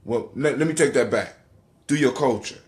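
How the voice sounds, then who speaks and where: A man's voice: medium pitch, normal speed. A middle-aged American man hosting a casual livestream monologue, conversational, swearing casually and repeating phrases for emphasis. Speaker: livestream host. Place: dim living room indoors. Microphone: phone or webcam at arm's length.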